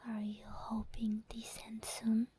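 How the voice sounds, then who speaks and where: A woman voicing an obsessed, possessive female sleep paralysis demon in an ASMR roleplay, in a breathy, teasing, intimate whisper. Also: fairly high pitch, monotone, takes it slowly, warm tone, a little hiss or hum. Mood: obsessive, intimate.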